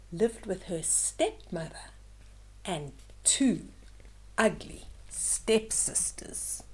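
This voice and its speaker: A woman reading a storybook aloud to children, expressive and often hushed, with asides to the listeners. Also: medium pitch.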